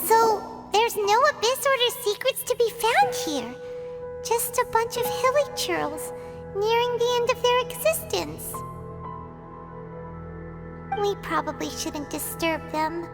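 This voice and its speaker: A tiny childlike fairy sidekick from a video game. The squeaky voice sits extremely high and swings lively up and down at an unhurried pace. The manner is excitable and inquisitive. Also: female voice, exaggerated voice. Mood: curious, musing. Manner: exclamatory, inquisitive, refers to herself by name. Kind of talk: video game character acting. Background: music.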